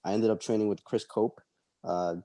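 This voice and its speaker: A young man from El Salvador, a fighter being interviewed, answering casually and haltingly with many ums and uhs. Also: fairly low pitch, fairly even intonation, warm tone, no echo. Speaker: MMA fighter guest.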